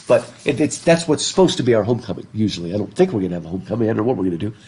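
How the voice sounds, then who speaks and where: A middle-aged man lectures a classroom in front of a blackboard, his mellow voice thick from a stuffed up nose. He jokes, laughs and asks the students questions as he goes. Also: on the low side, very animated, racing. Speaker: professor. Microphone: laptop webcam.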